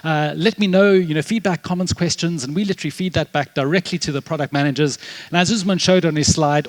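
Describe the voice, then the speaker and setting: A middle-aged man with a South African accent giving a chatty, self-deprecating conference talk on stage, full of fillers and restarts. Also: a little high. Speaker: conference speaker, developer advocate. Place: large converted warehouse venue.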